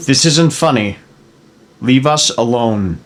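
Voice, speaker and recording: A man's voice: medium pitch, animated, slow pace. A man reading the part of a troubled, protective, irritable husband at a screenplay table read. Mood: tense, annoyed.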